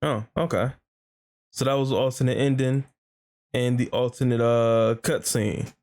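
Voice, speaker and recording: A man's voice: medium pitch, fairly even intonation, unhurried, darkish tone. Casual man reacting to game cutscenes. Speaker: reaction video host.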